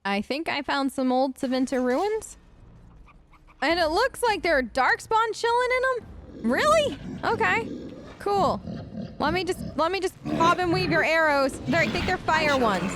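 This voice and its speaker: A woman's voice: extremely high pitch, sing-song, measured pace, background noise. A woman giving casual, chatty gameplay commentary, often talking to herself and to the characters as she plays. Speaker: let's play host.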